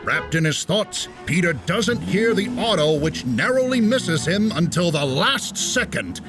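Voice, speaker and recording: Male comic-book narrator, theatrical showman impression, lively swooping intonation, faint music underneath. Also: sits high, measured pace. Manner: dramatic showman delivery, an impression of a famous comics writer.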